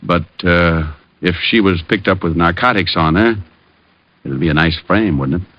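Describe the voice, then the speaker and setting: An American actor playing a cocky, flippant, hard-boiled private detective in 1940s radio drama style. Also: male voice, low voice, moderate pace, close to an everyday voice. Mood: breezy. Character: wisecracking, cocky tough-guy male private detective. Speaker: lead actor and narrator. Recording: dull vintage radio recording.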